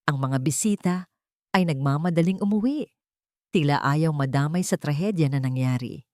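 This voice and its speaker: A woman's voice: low voice, darkish tone, dry recording, clean studio sound. A woman reading scripted, dramatic story narration as an audiobook narrator.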